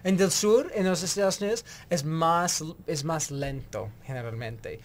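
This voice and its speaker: A young American man, a native English speaker, answers on a radio show in hesitant Spanish. He picks his words slowly, with an American accent throughout, close on a studio mic. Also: a little high. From United States. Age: young adult. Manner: halting, searching for Spanish words. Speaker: guest English teacher. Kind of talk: casual radio interview. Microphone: studio microphone on a boom.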